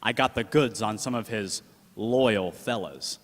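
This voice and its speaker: A man's voice: lowish voice, unhurried, lightly acted. Man playing slangy old-time gumshoe, echoey hall. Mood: urgent. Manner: slangy wise-guy talk. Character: folksy 1940s gumshoe cousin, slangy, fond, protective man. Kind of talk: 1940s radio drama acting, narration and dialogue.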